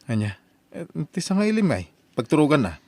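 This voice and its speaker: A man's voice: a little high, very animated, a little fast, a little mellow, no echo. A man with an Ilocano accent acting as a regretful husband in an audio drama. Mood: puzzled. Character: low-key husband, regretful former drinker, pleading.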